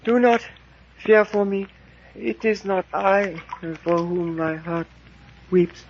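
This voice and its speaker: An actor playing a wounded young servant who pleads formally and in pain, in 1940s radio drama style. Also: male voice, sits high, a little hiss or hum. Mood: weak, sorrowful. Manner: slow, formal, anguished pleading. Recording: dull vintage 1940s radio recording.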